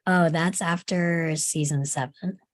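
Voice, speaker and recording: A woman's voice: on the low side, steady tone, takes it slowly, no echo. A woman chatting casually in a group as a knowledgeable fan of a TV series. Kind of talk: casual chat about a TV series.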